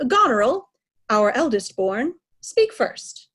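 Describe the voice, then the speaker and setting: Casting: woman as stately old monarch, higher-pitched voice, commanding, classical stage verse. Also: big pitch swings, slow, fairly bright tone, husky, full character voice. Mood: imperious, stately. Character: aging king, imperious, dividing his realm among daughters. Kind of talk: Shakespearean reader's theatre.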